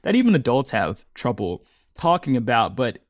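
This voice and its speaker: A man in his thirties, a guest on an interview podcast, talking earnestly and reflectively, often laughing and jumping up in pitch when amused. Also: sing-song, normal speed, no echo, clean studio sound. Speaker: podcast guest, audio storyteller.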